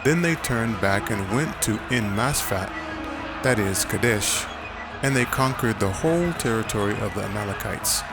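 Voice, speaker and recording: A man narrating dramatized scripture in a measured, solemn, declarative way. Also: fairly low pitch, lively intonation. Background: dramatic music.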